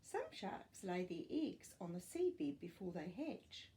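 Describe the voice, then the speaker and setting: An older woman hosting a children's storytime, reading non-fiction aloud with expressive, clear phrasing and explanatory asides for young listeners. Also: fairly low pitch, takes it slowly, a little hiss or hum. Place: small furnished living room, indoors.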